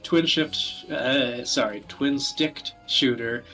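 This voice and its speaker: A man giving casual podcast commentary, explaining things in a wordy, halting way. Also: medium pitch, bright, forceful tone. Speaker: podcast host presenting video games. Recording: music playing behind the voice. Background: background music.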